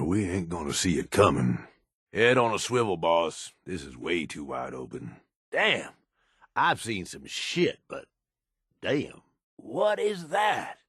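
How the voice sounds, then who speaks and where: An actor playing a gruff, cynical American raider henchman in a video game, blunt and streetwise but loyal, with a raspy voice. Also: sits low, fairly bright tone, no echo, studio quality, full character voice. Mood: edgy, disgusted. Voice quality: husky, raspy. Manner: blunt, streetwise, rough drawling slang with swearing. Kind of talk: video game character dialogue.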